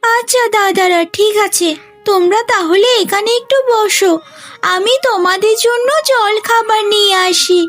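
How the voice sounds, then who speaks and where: A woman's voice: extremely high pitch, a little slow, fairly bright tone. A woman playing a scheming, self-pitying young sister in a melodramatic cartoon, with a plaintive, theatrical delivery. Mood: sweetly feigned. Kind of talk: melodramatic Bengali cartoon dialogue. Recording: music behind the voice.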